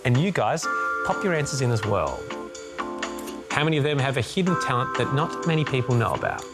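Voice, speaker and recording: An Australian man hosting a lively studio game show, joking and laughing with his panel. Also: mid-range voice, loud music behind the voice. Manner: jokey, quick to laugh. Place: TV studio with live audience.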